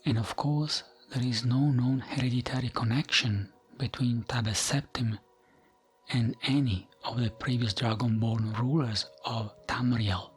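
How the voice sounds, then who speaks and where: A man reading game lore as gentle, soothing ASMR narration, soft-spoken and breathy at the edges, pausing often between phrases. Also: mid-range voice, fairly even intonation. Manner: gentle, soothing, measured with frequent pauses. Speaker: narrator of gaming lore videos. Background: background music.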